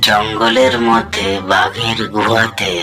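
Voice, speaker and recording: A man's voice: lowish voice, huge pitch swings, takes it slowly, gravelly, full character voice. A man voicing a cunning, reassuring monkey husband and father in a children's cartoon. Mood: reassuring, scheming. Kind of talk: cartoon dialogue. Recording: loud music behind the voice.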